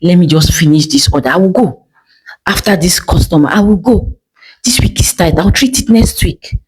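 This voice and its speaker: Casting: female narrator as a young seamstress, stubborn and dismissive, very expressive pitch, folktale storytelling. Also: moderate pace, slight background noise, close to an everyday voice, low-quality recording. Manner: casual, dismissive reassurances. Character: laid-back, stubborn young tailor woman brushing everyone off. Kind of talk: storytelling character voice.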